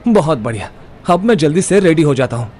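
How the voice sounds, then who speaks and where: A man's voice: fairly high pitch, sing-song, a little fast, darkish tone, slight background noise. An actor playing a cocky, bossy young male schemer in an audio drama, confident and mocking. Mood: amused, exasperated. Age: young adult. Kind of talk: Hindi audio comedy-horror drama acting.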